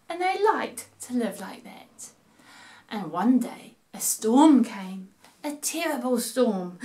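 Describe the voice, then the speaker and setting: A middle-aged woman hosting storytime for children, speaking in a deliberate, emphatic, playful storytelling style. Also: sits high, fairly bright tone. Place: small home living room. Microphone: camera a few feet away.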